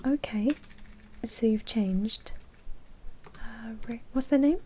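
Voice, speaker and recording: Woman doing a polite vampire bank manager, soft breathy whisper, asmr roleplay, mellow n obliging. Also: fairly high pitch, slow pace. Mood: courteous, sympathetic. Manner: soft, whispered, courteous customer-service patter.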